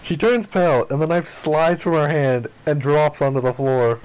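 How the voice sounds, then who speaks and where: A man with a somewhat higher-pitched voice reads story text aloud from a visual novel. He dramatizes the dialogue, performing the lines as part of a game playthrough. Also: normal speed, a little mellow, clear, resonant tone, low-quality recording. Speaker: gaming video player reading aloud.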